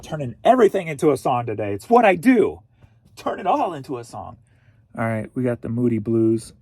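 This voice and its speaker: A man's voice: mid-range voice, very animated, brisk, darkish tone, slightly rough, dry recording. A man in his forties from Missouri, chatting casually and jokingly to himself while packing online orders. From St. Louis, Missouri. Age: 40s. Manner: goofy, jokey, talks to himself. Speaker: online reseller.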